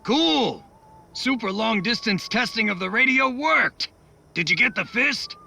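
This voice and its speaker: A man's voice: extremely high pitch, animated, unhurried, creaky, sharp tone, slight background noise, heavily acted. A man voicing a chatty, eccentric tinkerer talking over the radio in a video game. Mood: upbeat, chatty. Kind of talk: video game cutscene acting.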